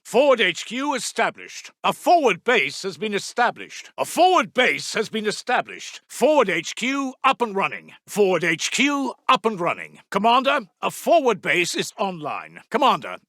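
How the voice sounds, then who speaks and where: A man playing a brisk, dutiful army intelligence officer in a strategy game, giving formal, clipped status announcements. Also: sits high, pushed and bright, no echo, studio quality, exaggerated voice. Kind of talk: scripted military status announcements.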